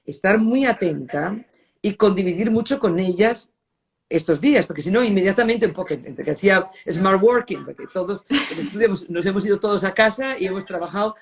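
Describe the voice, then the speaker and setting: Halting, word-searching Spanish woman. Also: mid-range voice, animated. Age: middle-aged. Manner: halting, searching for words, mixing in Italian. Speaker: designer interviewee. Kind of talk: reflective conversational interview.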